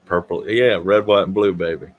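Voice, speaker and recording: A man's voice: sits low, fairly even intonation, moderate pace, phone-quality sound. A man, hosting a casual livestream chat, joking and self-deprecating as he rambles. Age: 50s. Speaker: livestream host.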